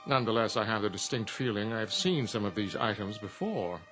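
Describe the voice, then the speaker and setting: An actor playing an urbane, smug, ancient genie in a radio drama, with a suave, formal, theatrical manner. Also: male voice, lowish voice, lively intonation, a little fast, fairly bright tone, soft background music. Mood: suave, teasing.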